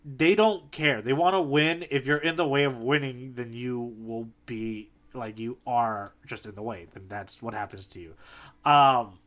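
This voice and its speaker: A man's voice: medium pitch, a little slow, fairly bright tone. A man co-hosting a podcast in casual conversation, talking through a story and acting out bits of it as he goes. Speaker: podcast co-host. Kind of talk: casual chat, manga discussion.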